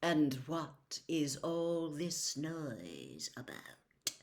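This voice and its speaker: Storybook villain casting: cold male schoolmaster, played by a woman, hushed silky delivery, slow and menacing. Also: deep voice, full character voice. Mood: cold, calm. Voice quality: soft, silky. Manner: slow, deliberate, coldly calm. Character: cold, menacing adult male potions teacher, silky and sneering.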